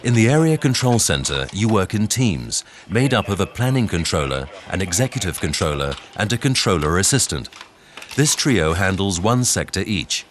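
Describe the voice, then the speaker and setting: A man reading scripted documentary narration in a measured, instructional way. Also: low-pitched, very animated. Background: background music.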